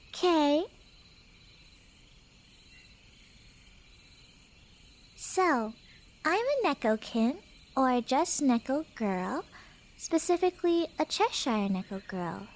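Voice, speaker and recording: Woman playing a high-voiced, teasing Cheshire cat girl. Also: a little mellow, heavily acted. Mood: playful, smug.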